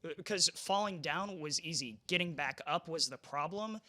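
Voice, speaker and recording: A man's voice: fairly high pitch, moderate pace, bright, forceful tone. A young man, a game programmer, chatting casually on a convention panel, rambling with frequent restarts. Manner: rambling, stumbles and restarts often.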